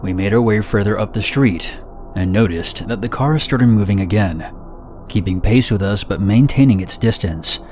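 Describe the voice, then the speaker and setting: A man reading first-person horror stories in a steady, measured, somber narration. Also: lowish voice, faint music behind.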